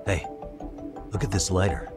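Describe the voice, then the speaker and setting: Man playing a low-voiced, cool detective. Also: normal speed, loud music behind the voice, close to an everyday voice. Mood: cool, analytical. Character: cool, hard-nosed male private detective, observant and methodical. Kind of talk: English video game dub acting.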